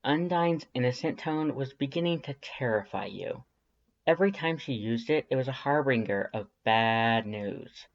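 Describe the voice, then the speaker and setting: A man's voice: mid-range voice, expressive, moderate pace. A man reading scripted second-person fiction as an audiobook narrator. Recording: slightly dull lo-fi audio.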